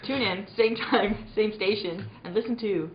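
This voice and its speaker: A woman's voice: medium pitch, unhurried. A woman narrating a scripted 1940s-style radio serial in a theatrical manner. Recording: roomy, slightly dull, faint noise.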